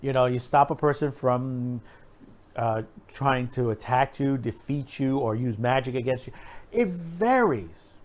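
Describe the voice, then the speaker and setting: A man's voice: medium pitch, animated, unhurried. A man hosting an opinion video, talking casually and emphatically to viewers in a rambling, repetitive way. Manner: rambling, repeats himself, emphatic. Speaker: host of an opinion video channel.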